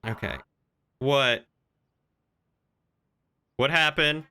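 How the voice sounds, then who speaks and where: A man talking casually on a gaming livestream, chatty and playful, thinking out loud as he goes. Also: mid-range voice, extremely slow, clear, resonant tone.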